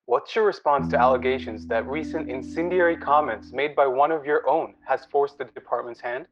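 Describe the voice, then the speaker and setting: A man's voice: mid-range voice, normal speed, lightly acted. A man playing a formal figure at a police press briefing in a script read. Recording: roomy, dull lo-fi with faint music.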